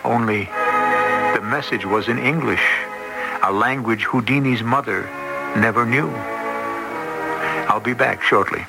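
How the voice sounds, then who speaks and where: Man, old-time radio presenter, measured scripted delivery, strong music bed. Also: medium pitch, vocal fry, rough recording. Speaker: radio program host. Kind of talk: scripted dramatic narration.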